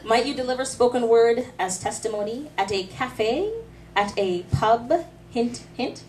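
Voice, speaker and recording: A woman's voice: a little high, unhurried, darkish tone, recorded from a distance, low-quality recording. A woman in her thirties, a spoken word artist, gives a testimony talk in a small pub room. Her delivery is earnest and rhythmic, built on rhetorical questions with light asides. The recording picks her up from across the room through a camera mic, with a faint hiss. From Canada. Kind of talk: faith talk with poetry reading. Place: small dim pub room, seated before a live audience. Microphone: handheld mic held close.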